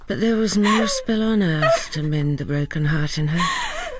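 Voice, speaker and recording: Slow, swooping, brooding woman storyteller. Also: mid-range voice, wildly swooping pitch, very noisy. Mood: mournful. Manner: theatrical, savouring words, incantatory. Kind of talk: dramatic radio narration. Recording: music behind the narration.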